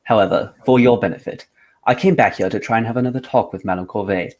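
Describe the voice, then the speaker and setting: An actor playing a cool, composed gentleman detective, formal and unruffled, in old-time radio drama style. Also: fairly low pitch, quick pace, natural voice. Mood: composed explaining.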